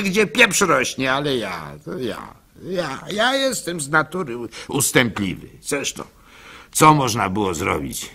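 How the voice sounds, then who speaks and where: Gullible, lovestruck baker in radio drama: gravelly, very bright and forceful, hesitant confessional storytelling. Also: male voice, a little high, heavily acted.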